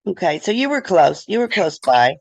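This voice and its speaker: A woman's voice: fairly low pitch, sing-song, moderate pace, creaky, no room sound. A woman from the American South with a Southern accent, interviewing a band in a chatty way and saying y'all a lot. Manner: chatty, says y'all, laughs easily. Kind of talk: casual interview.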